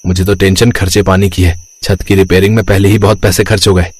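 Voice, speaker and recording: An actor playing a mild, hesitant, accommodating middle-class husband and younger brother in a scripted moral story. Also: male voice, low voice, flat delivery, fast, husky. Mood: worried.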